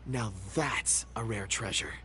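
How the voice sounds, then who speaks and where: An actor playing an affable, forgetful young rock expert in a video game, with flowery, courteous speech. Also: male voice, fairly low pitch, lively intonation, slow. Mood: admiring. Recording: game music behind.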